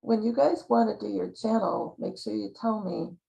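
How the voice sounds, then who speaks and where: A woman hosting a casual video call chat, warm, encouraging and chatty with her guest. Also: medium pitch, moderate pace, vocal fry, highs cut off. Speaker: host.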